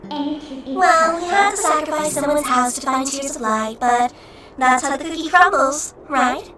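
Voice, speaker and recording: A woman's voice: high-pitched, unhurried, pushed and bright, a little hiss or hum. A woman voicing an impish, teasing female shadow creature in a fan-made video game dub, with a mocking, sardonic manner. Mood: playful, flippant. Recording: roomy, distant mic under music.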